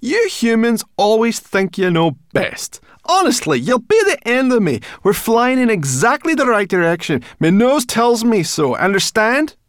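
A man's voice: a little high, moderate pace, dry recording, studio quality, pitched above the performer's own voice, natural voice. A man voicing a bossy, grumpy female brownie with a sarcastic, scolding manner, in a children's storybook reading. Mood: cross, scolding. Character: bossy, grumpy female furry brownie, sharp-tongued and cocky. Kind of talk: children's audiobook character voice.

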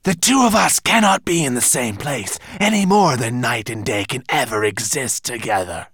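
A man voicing a menacing cockerel-headed monster in a storybook reading, with a shrill, screeching, hissing voice and a gloating cackle. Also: lively intonation, moderate pace, a bit bright, breathy edge, no echo, studio quality.

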